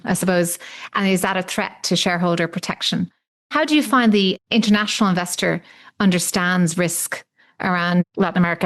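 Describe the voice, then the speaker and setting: A woman with a mid-range voice hosts a podcast interview. She poses long, winding questions and softens them with hedging phrases as she thinks aloud. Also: mid-range voice. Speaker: podcast host.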